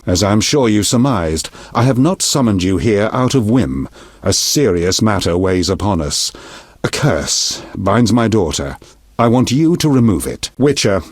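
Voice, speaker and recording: A husky, imperious middle-aged king. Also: on the low side, dry recording, clean studio sound. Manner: formal, measured, imperious, deliberate diction. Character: stern middle-aged king, shrewd, commanding, burdened father. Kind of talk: scripted video game dialogue.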